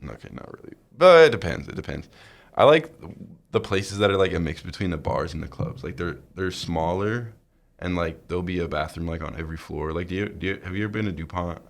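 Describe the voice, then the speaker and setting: A young American man in his twenties chatting casually, in a halting, rambling way that often doubles back to correct itself. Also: sits low, lively intonation, creaky, a little hiss or hum. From rural Iowa, United States. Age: 20s. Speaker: podcast co-host. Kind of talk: casual chat.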